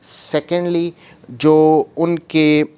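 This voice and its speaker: A man with a slightly high voice delivers an explanatory lecture. He is methodical, restating key points as he goes and mixing two languages freely. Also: little pitch movement, very slow, darkish tone, clean tone. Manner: code-switches between Hindi and English. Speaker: law lecturer. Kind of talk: explanatory law lecture, reading provisions then explaining them.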